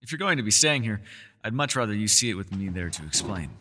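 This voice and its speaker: A man's voice: fairly low pitch, lively intonation, fast talker, in a large hall, background noise, lightly acted. A man voicing a centuries-old elf scholar in an ASMR roleplay, courteous and meticulous, with formal wording and small hesitant stammers. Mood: calm, reassuring. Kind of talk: ASMR roleplay voice acting, one-sided dialogue.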